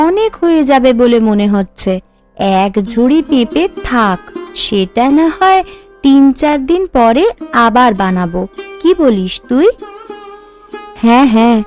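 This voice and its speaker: A woman voicing a young, chatty, deferential daughter-in-law in a cartoon, sweet and animated. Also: a little high. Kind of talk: Bengali cartoon voice acting. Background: background music.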